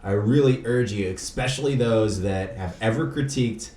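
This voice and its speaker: Male podcast host, very low voice, laid-back and slangy, recorded in a roomy space. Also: moderate pace, darkish tone. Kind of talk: casual podcast chat. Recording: echoey room, faint background noise.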